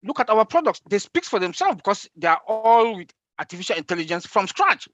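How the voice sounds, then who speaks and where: A man's voice: high voice, big pitch swings, fairly quick, fairly bright tone, no echo, muffled recording. A man from Africa on a webinar panel over a video call, speaking emphatically and repeating his key phrases. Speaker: panel guest.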